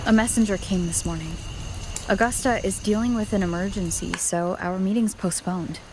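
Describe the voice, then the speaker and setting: A woman playing a serious, thoughtful young warrior in a video game, speaking earnestly and in a measured, questioning way. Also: mid-range voice, fairly even intonation, noisy background, natural voice. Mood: pensive, uneasy.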